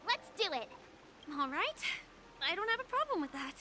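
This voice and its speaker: Very high-pitched brisk heroine. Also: female voice, very animated, sharp tone, a little hiss or hum, full character voice. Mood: brisk, impatient. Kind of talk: game character dialogue.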